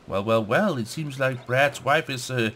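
A man giving casual let's play commentary, haltingly thinking aloud and reading bits of game text. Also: mid-range voice, a bit bright, a little hiss or hum. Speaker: gaming let's play host. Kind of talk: casual gameplay commentary, muttering to himself.

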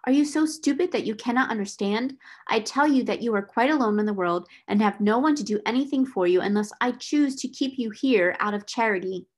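A woman's voice: medium pitch, a little slow. Woman acting a stern, domineering schoolmistress. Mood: scornful, angry. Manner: sharp, imperious, scolding. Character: stern domineering middle-aged schoolmistress, cold and scornful.

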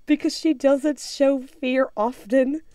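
A woman's voice: high voice, fairly even intonation, takes it slowly, mellow, dry recording. A tired-sounding woman chatting casually to viewers in an apologetic, self-deprecating way. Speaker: amateur voice actor posting character voice lines.

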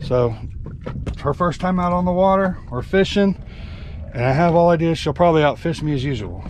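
Excited fishing host on a noisy boat. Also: male voice, a little high, normal speed. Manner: excited play-by-play while reeling in fish. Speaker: fishing guide and channel host. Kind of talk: casual fishing vlog commentary. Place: open boat on the sea, outdoors. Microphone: action camera on the boat. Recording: outdoor boat noise behind the voice. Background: boat engine and water noise.

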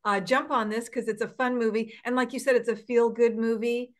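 Effusive, higher-voiced woman host. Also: steady tone, fairly quick. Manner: effusive, complimentary. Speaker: talk show host. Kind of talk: casual interviewing. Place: indoors on a video call. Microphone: computer video call.

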